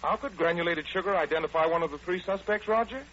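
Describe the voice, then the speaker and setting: A man's voice: sits high, fast talker, a bit bright. An American man with a polished, theatrical, explanatory manner, presenting and acting in 1940s radio style. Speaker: radio show host and detective lead. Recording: muffled, hissy old radio broadcast.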